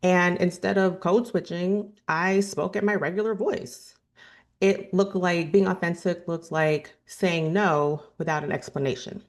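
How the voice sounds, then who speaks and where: A woman in her thirties with a deep register, earnestly telling a personal story to camera and often correcting herself mid-sentence. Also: steady tone, measured pace. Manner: earnest, thoughtful, with frequent self-corrections and "you know". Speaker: vlogger.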